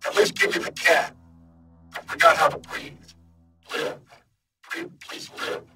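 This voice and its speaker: A performer voicing a lost, disembodied human mind, whispering in broken fragments with sudden loud outbursts, panicked and confused. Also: medium pitch, wildly swooping pitch, unhurried, faint music behind, full character voice. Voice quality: mostly whispered, breathy. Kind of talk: horror audio reading.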